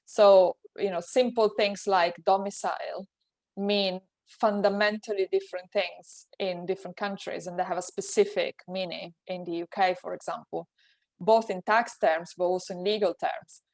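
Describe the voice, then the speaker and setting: Italian-accented woman panelist on a video call, flat and advisory, dry close sound. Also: mid-range voice, normal speed, no room sound. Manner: practical and advisory, restarts phrases often. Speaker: webinar panelist, tax advisor. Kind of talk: webinar panel answer.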